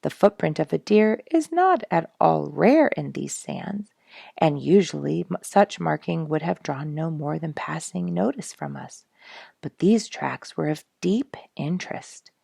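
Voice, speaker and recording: Gravelly, husky woman reading a story. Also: deep voice, animated, a little slow, mellow, no room sound, clean studio sound. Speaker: audiobook reader and show host. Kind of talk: expressive storybook narration.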